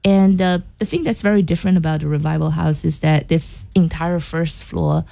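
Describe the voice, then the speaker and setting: Low gravelly woman, relaxed explaining. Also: moderate pace. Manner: explanatory, frequent "you know" fillers. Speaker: guest, inn and theater owner. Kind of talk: casual radio interview.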